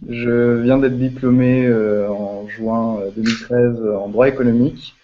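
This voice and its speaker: Young man, halting speech, video call. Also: medium pitch, steady tone, slight background noise. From Belgium. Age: 20s. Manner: slow, hesitant, many fillers. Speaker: participant asking a question. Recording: dull lo-fi video call audio.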